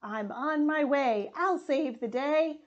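A woman voicing an eager, brave little fire engine in a children's storybook, with excited, emphatic siren calls. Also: sits high, slow, dull audio. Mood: determined.

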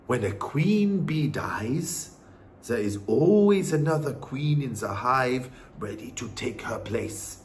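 A man voicing a calm, wise old grandmother in a storybook, speaking softly and reassuringly in a measured, hushed way. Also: mid-range voice. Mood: grave, hushed.

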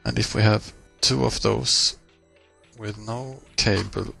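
A man presenting a software tutorial in a measured, halting explanatory way, pausing mid-phrase. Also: on the low side, steady tone, gravelly, soft background music. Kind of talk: screencast software walkthrough, explanatory.

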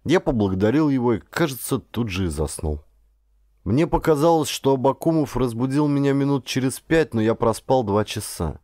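A man's voice: medium pitch, animated, normal speed, mellow, no echo, studio quality. A man reading a first-person adventure story as an audiobook narrator, in a scripted literary style.

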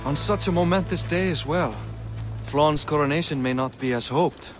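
An actor playing a grave medieval lord and loyal ally in a video game, speaking in a formal, somber way. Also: male voice, on the high side, moderate pace, over music, lightly acted. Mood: worried.

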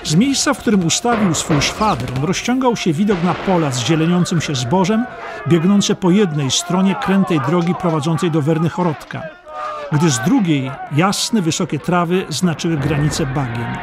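A man's voice: fairly high pitch, expressive, moderate pace, background noise. A man narrating a war history audiobook in a dramatic, scripted storytelling style.

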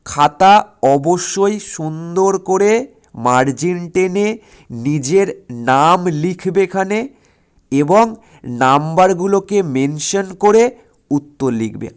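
A man coaching school students for exams in an emphatic, directive way, repeating his instructions and checking that they follow. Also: a little high. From West Bengal, India. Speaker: school exam tutor.

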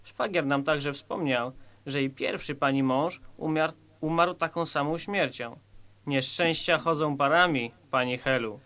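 A man's voice: a little high, a little slow, bright, forceful tone. A man acting a stern, smug police commissioner in a satirical radio play, curt and sardonic. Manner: curt, sardonic, self-assured.

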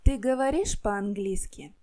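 A native Russian-speaking woman teacher reading clear practice questions to learners. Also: mid-range voice, a little slow, mellow. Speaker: language teacher. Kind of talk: scripted lesson questions.

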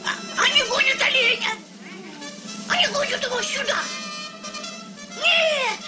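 A woman playing a shrill, excitable, jeering jackal sidekick in an animated film, yelping out the lines. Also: unhurried. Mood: excited. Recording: old film soundtrack, loud music underneath.

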